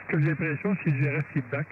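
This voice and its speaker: A man with a Quebec French accent and a slightly high voice talks as a radio operator. His delivery is informal and halting. The sound is very thin and narrow, with clear noise over the transmission. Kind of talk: casual radio chat, technical troubleshooting.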